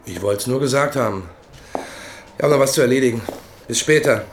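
An actor playing a laconic, casual deputy police detective in a radio drama. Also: male voice, mid-range voice, fairly quick, lightly acted. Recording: echoey room, clear noise.

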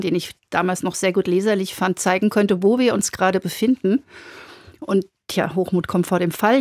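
A woman in her sixties, an author, telling personal stories in a relaxed, lightly ironic way. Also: mid-range voice, no room sound, studio quality. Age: 60s. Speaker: author, interviewee. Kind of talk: personal anecdote.

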